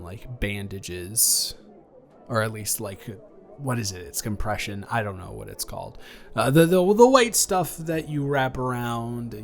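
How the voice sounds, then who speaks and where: A man improvising a caring, flustered young ex-boyfriend in an intimate audio roleplay, speaking casually with stammers and halting phrases. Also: mid-range voice, natural voice.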